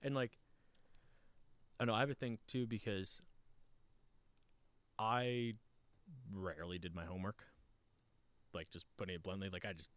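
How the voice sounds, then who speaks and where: Very deep-voiced man musing. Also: steady tone, normal speed, long pauses, no echo. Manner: rambling, reflective, many fillers. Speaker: podcast guest. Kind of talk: casual chat.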